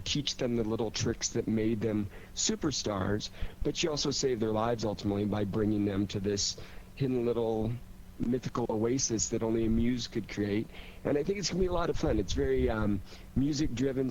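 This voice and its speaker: A man's voice: medium pitch, muffled recording. A man talking casually and enthusiastically as a radio theater director on a panel. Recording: clear steady background noise.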